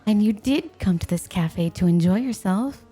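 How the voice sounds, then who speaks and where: A woman's voice: medium pitch, expressive, moderate pace, warm tone, slightly rough. A woman playing a playful, possessive snow spirit in an ASMR roleplay, coaxing and teasing the listener with coy, intimate talk. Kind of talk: ASMR roleplay, scripted, intimate one-sided dialogue.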